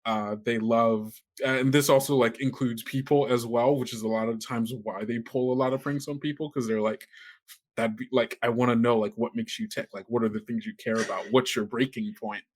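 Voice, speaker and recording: A man's voice: medium pitch, steady tone, a little fast. Man casually talking through game ideas. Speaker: player in an actual-play podcast. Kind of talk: casual collaborative game planning.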